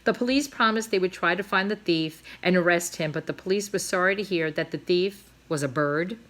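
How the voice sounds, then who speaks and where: A woman's voice: lowish voice, a little fast, fairly bright tone. A woman reading a children's novel aloud expressively, dramatizing the action as a storyteller. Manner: dramatic, with emphasized sound words and brief asides. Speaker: storybook reader.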